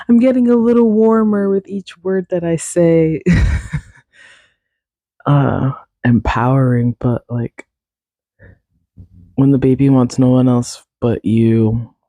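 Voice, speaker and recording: A man talks on his podcast in a warm, relaxed voice, musing casually and pausing as he gropes for words. His voice is mellow and the sound is close and dry, with no room around it. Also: medium pitch, no room sound. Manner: thinking aloud, searching for words. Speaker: podcast host. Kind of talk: casual solo chat.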